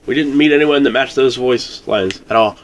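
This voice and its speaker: A man's voice: medium pitch, moderate pace, a little hiss or hum. A man co-hosting a casual discussion, agreeing with and backing up his partner. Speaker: co-host discussing video game theories. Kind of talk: casual chat.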